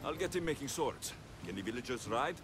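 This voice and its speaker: A man acting out a confident young mercenary from ancient Greece in a game cutscene. His pitch is middling but swings expressively, with a wry, cocky edge, over a clear music backing. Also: expressive, brisk, lightly acted. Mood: businesslike.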